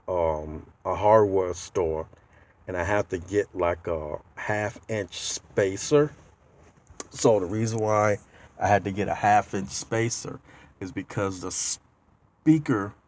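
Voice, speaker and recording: A man with a low voice walks the viewer through a do-it-yourself job. His delivery is slow and halting, with pauses as he searches for the next word. The tone is that of a casual, informal tutorial. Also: darkish tone. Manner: halting, pauses mid-sentence to find words. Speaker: DIY car video host. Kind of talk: casual how-to walkthrough. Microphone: handheld tablet.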